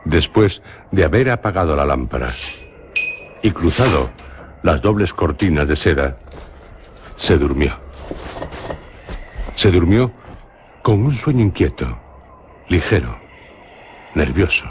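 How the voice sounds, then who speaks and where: A man with a Spanish accent narrates a horror radio play with an extremely deep voice. His delivery is slow, grave and measured, pausing on each phrase. A clear hiss runs under the recording. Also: very animated. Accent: Spain. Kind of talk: suspenseful radio drama narration.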